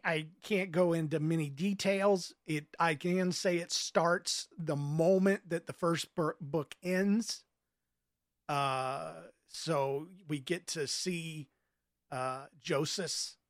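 A man speaking as an author in a casual interview, giving earnest answers in a thoughtful, halting way. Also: fairly high pitch, no room sound, clean studio sound.

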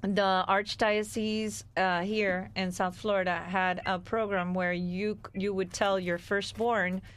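A woman's voice: medium pitch, little pitch movement, takes it slowly, clear, resonant tone. A woman co-hosting a radio show in a studio, speaking earnestly as she tells a story. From Cuba.

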